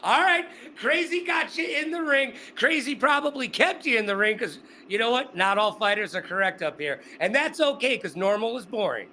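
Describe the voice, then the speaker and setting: Upbeat male host, high and bright, chatty. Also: lively intonation, normal speed, hardly any pauses, a little hiss or hum. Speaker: interview host. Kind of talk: casual interview. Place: Las Vegas.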